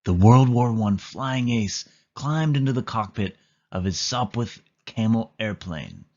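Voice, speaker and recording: A man's voice: lowish voice, expressive, unhurried, darkish tone, dull audio. A man narrating a children's storybook as a scripted read-aloud.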